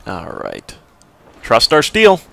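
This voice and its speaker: A man's voice: lowish voice, wildly swooping pitch, slow pace, creaky, a bit bright. A man casually commenting over his gameplay, explaining abilities as he plays with frequent restarts. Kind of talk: casual let's-play commentary.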